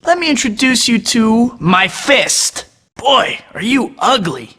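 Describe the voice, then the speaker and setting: A man's voice: very high voice, very animated, takes it slowly, bright, forceful tone, long reverb tail, full character voice. An actor voicing a young male superhero who tosses out sarcastic taunts in a video game. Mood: mocking. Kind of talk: game voice clips.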